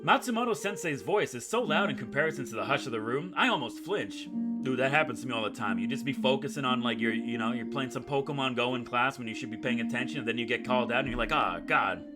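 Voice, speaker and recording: A man's voice: mid-range voice, quick pace. Male let's-player, mid-range voice, storybook-style reading mixed with jokey casual commentary, music underneath. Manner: jokey asides, dramatic storytelling read. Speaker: gaming video host. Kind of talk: reading visual novel aloud with casual joking commentary. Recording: music playing behind the voice.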